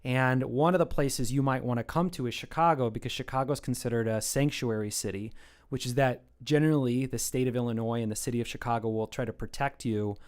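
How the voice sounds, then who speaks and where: An American man chatting casually on a live art stream, thinking out loud as he talks. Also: medium pitch, steady tone, fairly quick, smooth. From United States. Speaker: streaming artist. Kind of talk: casual chat while drawing on stream.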